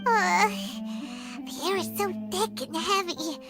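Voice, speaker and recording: Squeaky, very high childlike fairy. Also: female voice, slow, music in the background, full character voice. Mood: awkward, dry. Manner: exclamatory, refers to self in third person. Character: tiny floating childlike fairy companion, cheeky and excitable. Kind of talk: video game voice acting.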